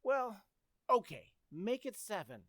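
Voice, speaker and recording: An earnest teenage boy played by a woman in a radio comedy. The mid-range voice is polite and bouncy, with lively intonation. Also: mid-range voice, slow, no echo, clean studio sound, exaggerated voice. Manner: earnest, gee-whiz. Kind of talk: radio comedy stage acting.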